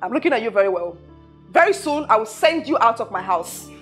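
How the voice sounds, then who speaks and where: A woman's voice: a little high, very animated, brisk, faint music behind. An actress playing a haughty, hot-tempered rich woman who scolds and repeats her questions emphatically in a film drama. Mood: threatening. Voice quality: loud, shouting when angered. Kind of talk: low-budget film drama acting.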